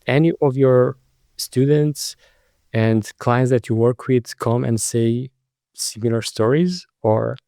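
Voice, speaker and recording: A man's voice: mid-range voice, slow pace, vocal fry, warm tone, no echo. Romanian man hosting a podcast, dry close sound. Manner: conversational, searching for words, storytelling. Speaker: podcast host. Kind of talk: podcast interview conversation.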